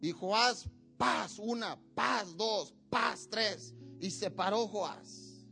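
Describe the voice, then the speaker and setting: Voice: medium pitch, extremely slow, creaky, pushed and bright, faint music behind. A preacher delivering a sermon at a church service, with emphatic, repetitive exhortation.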